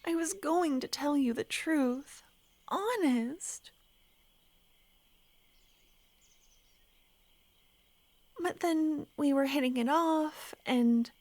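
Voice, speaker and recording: A woman voicing an ancient, lovesick female vampire who pleads softly and slips into whispers, in an ASMR roleplay. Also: high-pitched, no echo. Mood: apologetic. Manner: soft, pleading, drifting into whispers.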